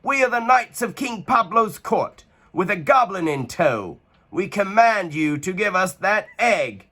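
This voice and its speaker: A man's voice: high-pitched, big pitch swings, a little slow, bright, forceful tone, pitched above the performer's own voice, heavily acted. A man voicing a bold, eager young female knight in a storybook, calling out eager commands. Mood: commanding.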